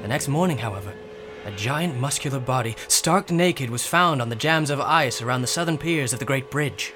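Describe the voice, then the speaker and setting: A man narrating an eerie historical radio drama as a grave storyteller, formal and deliberate in delivery. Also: medium pitch, expressive, close to an everyday voice. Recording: clear dramatic music underneath.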